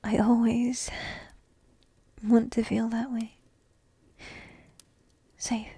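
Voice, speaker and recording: A woman's voice: a little high, little pitch movement, extremely slow, dark tone, no echo, full character voice. A woman playing a petite, entitled but devoted dragon princess in a whispered, coy and intimate fantasy ASMR roleplay. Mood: vulnerable, tender. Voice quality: breathy, whispery. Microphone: close microphone, dry.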